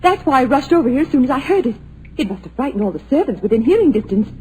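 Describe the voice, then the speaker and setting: A woman's voice: sits high, very animated, very fast, darkish tone, a little hiss or hum. An actress playing a nervous young woman, in 1940s radio-drama style. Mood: anxious. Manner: anxious, breathless.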